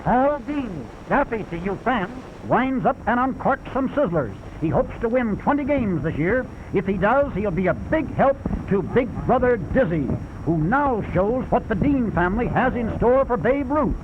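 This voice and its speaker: A man's voice: high-pitched, sing-song, normal speed, hardly any pauses. A man giving scripted sports commentary for a 1930s newsreel. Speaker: newsreel narrator. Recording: noisy, dull 1930s newsreel soundtrack.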